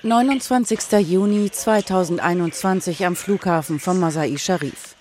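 Reporter narrating over clear noise. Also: medium pitch, normal speed. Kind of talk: documentary narration.

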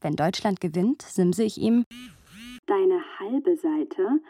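A woman's voice: mid-range voice, lively intonation, slow, dark tone, dry recording. An actress playing a wry, playful young woman who narrates memories of a friend in an intimate radio play style.

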